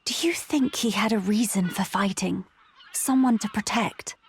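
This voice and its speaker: A woman's voice: medium pitch, moderate pace, slight background noise, lightly acted. A woman voicing a dignified, solemn young noblewoman in a video game, formal and composed. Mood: questioning. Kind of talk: dramatic video game voice acting.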